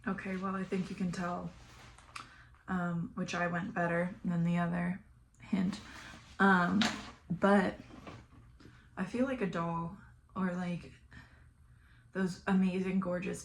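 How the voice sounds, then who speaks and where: A young woman with a Southern American accent, chatting flatly and casually while doing her makeup, sometimes dropping to a mumble or whisper. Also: on the low side, measured pace, long pauses, a little hiss or hum. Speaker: beauty vlogger. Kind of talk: casual get-ready-with-me chat.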